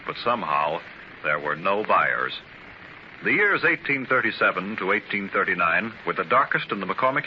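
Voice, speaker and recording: A man's voice: on the low side, slight background noise. A man narrating a 1930s radio drama in an earnest, storytelling style. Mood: solemn. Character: earnest male narrator of an industrial biography drama. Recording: dull 1930s radio transcription, music behind.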